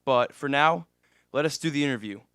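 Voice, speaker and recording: A man's voice: medium pitch, brisk, a bit bright, clean tone, dry recording, studio quality. A man in his twenties hosting a live podcast interview at a music venue, laid-back with frequent fillers. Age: 20s. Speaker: podcast host.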